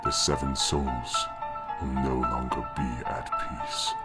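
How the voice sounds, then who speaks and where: Dark spectral villain, menacing, extremely deep pitch-shifted voice, very drawn-out pacing, game monologue, heavy music behind. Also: male voice, level tone. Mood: slow menace. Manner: slow, ominous, drawn-out delivery. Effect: digitally pitched far down.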